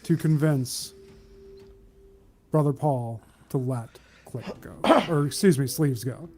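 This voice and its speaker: A man's voice: mid-range voice, slow, darkish tone, faint music behind. A man casually narrating a tabletop game as its game master.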